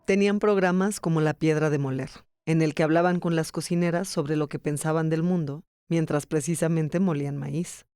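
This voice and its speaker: A man's voice: fairly high pitch, fairly even intonation, normal speed, darkish tone, smooth, no echo. A man reading scripted documentary narration as the narrator of a podcast.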